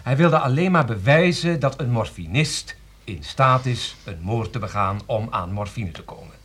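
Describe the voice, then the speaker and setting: An actor playing an educated man who anxiously defends his friend, hesitant but persuasive, with a husky voice, in a radio drama. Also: medium pitch, expressive, moderate pace, a bit bright, lightly acted. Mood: pleading, insistent. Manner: hesitant, explanatory, pleading. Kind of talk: Dutch radio drama acting.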